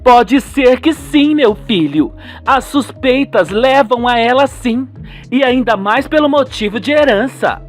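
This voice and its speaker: A man's voice: very high voice, lively intonation, measured pace, soft background music, pitched above the performer's own voice. A man voicing a distressed middle-aged mother in a dramatic radio soap style. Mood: worried, suspicious. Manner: dramatic, anguished.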